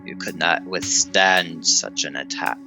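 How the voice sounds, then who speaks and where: A proud vampire villain, very low in pitch and slow. He delivers formal, measured menace in audio drama style, with music behind him. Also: low-quality recording. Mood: coldly menacing.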